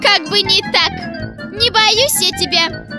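Very high-pitched, whiny monkey. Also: female voice, sing-song, slow, over loud music, heavily acted. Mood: defiant. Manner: whiny, defiant protesting. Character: defensive, hot-tempered young female monkey. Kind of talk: children's puppet show acting.

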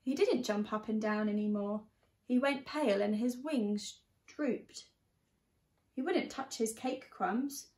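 The room rings noticeably around a young woman's fairly high voice. She reads a children's picture book aloud like a primary teacher, expressively and directed at young listeners. The microphone sits a short way off from her. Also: takes it slowly, a little mellow. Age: young adult. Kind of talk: storybook read-aloud. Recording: echoey room sound.

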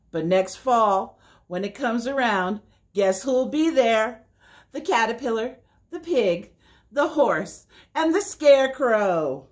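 A middle-aged woman hosting a children's story time, reading aloud in a deliberate, sing-song way. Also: on the high side. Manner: slow, deliberate, sing-song repetition for young listeners. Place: small indoor room by a window.

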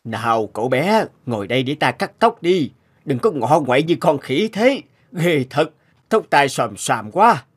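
Man voicing a lively, higher-pitched cartoon working man. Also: unhurried, husky, full character voice. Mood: gruff, impatient. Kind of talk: animated story dubbing.

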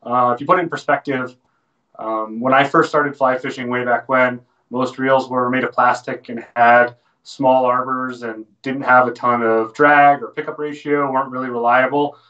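The sound is a little distant and dull, as from a webcam in a small shop room. An American man in his thirties talks informally and informatively at a medium pitch. He comes across as a presenter explaining things on a casual livestream. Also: fairly even intonation, moderate pace, pushed and bright. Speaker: fly fishing shop presenter.

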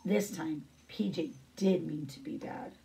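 A woman's voice: deep voice, unhurried, creaky, mellow, distant mic. A middle-aged woman reading a picture book aloud as a teacher, with brief asides.

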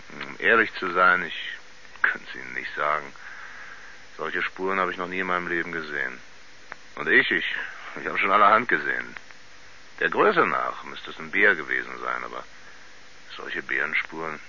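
Rural sheriff, low voice, folksy, halting, puzzled testimony, radio drama, faint noise. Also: male voice, lively intonation, bright, forceful tone, full character voice. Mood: puzzled, matter-of-fact. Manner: folksy, halting, thoughtful witness account. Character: plain-spoken rural American sheriff giving testimony. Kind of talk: 1970s German radio play acting. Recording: slightly dull, faintly noisy 1970s radio recording.

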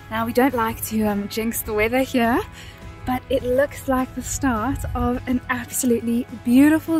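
A woman's voice: fairly high pitch, a little mellow, low-quality recording. A young woman from South Africa chatting cheerfully to a phone camera held at arm's length outdoors, planning the day's travel. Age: young adult. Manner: cheerful, chatty, plans the day aloud. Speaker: travel vlogger. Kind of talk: casual travel vlog to camera. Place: outdoors, windy open countryside.

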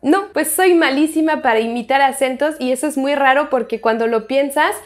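Girl in her 20s doing a chatty q&a vlog, w/ touch, kinda high voice. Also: a little fast. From France. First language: French. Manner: chatty, informal, self-deprecating. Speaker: vlogger answering viewer questions. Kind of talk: casual chat to camera. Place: bedroom, indoors. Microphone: lapel mic.

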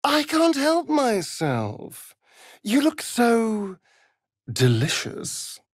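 A man's voice: very high-pitched, huge pitch swings, extremely slow, dry recording, full character voice. Sardonic ancient vampire, arch and theatrical, video game style, takes his time, close dry sound. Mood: seductive. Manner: arch, drawling, flamboyant delivery.

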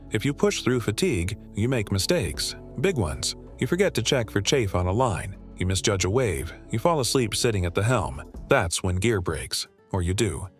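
A man narrating a scripted voice-over about solo sailing, in a calm and measured explanatory way. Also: fairly low pitch.

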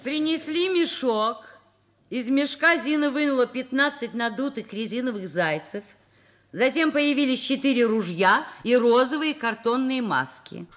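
A woman's voice: high voice, lively intonation, unhurried. An actress playing a kind, dignified middle-aged woman professor in a Soviet radio play, speaking expressively and articulately. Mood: observant narrating. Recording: music and crowd noise behind. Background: music and crowd.

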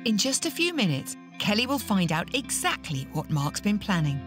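A woman narrating a reality show with a lively, swooping delivery and a playful, punning script. Her voice moves freely up and down, sitting mid-height for a woman. Music plays clearly under her. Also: mid-range voice, moderate pace.

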